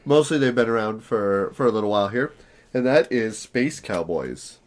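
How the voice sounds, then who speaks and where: Hoarse raspy man hosting a podcast. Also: expressive, moderate pace. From Southern California. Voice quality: naturally deep voice, raspy today. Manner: rambling, self-deprecating banter. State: hoarse from allergies. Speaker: podcast co-host. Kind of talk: casual podcast chat.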